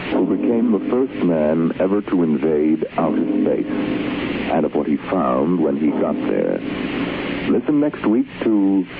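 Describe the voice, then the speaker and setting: A man hosting and announcing a 1950s radio drama, reading a script in a dramatic, measured manner. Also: low voice, big pitch swings, gravelly. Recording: very noisy, narrow-band vintage radio recording.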